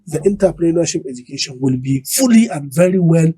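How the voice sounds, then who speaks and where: High-pitched, husky Nigerian man. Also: lively intonation, fairly quick, mellow. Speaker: education expert guest. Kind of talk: broadcast interview, explanatory.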